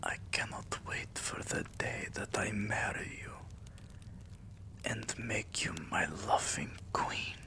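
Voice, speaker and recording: A man playing a tender, possessive vampire king in an intimate ASMR roleplay, murmuring soothingly and often whispering, with a deep, gravelly voice. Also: steady tone, slow. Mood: soothing, loving.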